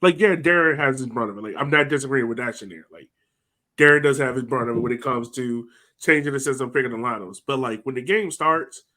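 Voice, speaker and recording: A man's voice: medium pitch, fast, bright, forceful tone. A man co-hosting a casual sports podcast, talking loosely with many fillers. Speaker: podcast co-host.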